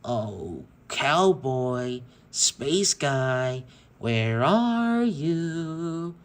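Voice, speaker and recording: A man voicing a sadistic male toy villain who taunts slowly and menacingly. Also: mid-range voice, very animated, clean tone, heavily acted. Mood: creepy.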